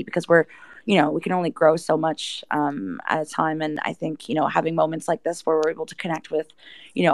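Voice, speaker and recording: A woman's voice: deep voice, vocal fry, no room sound. A woman speaking as a guest in a live audio chat, promoting a project casually with lots of conversational filler phrases. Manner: conversational, peppered with "you know" and "like".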